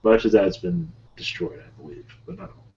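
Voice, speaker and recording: A man voicing an ancient otherworldly spirit guide in a calm, solemn, halting manner with measured, broken phrasing. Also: lowish voice. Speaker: channeler leading a webinar session. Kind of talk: slow improvised channeled speech. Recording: muffled lo-fi webinar call audio.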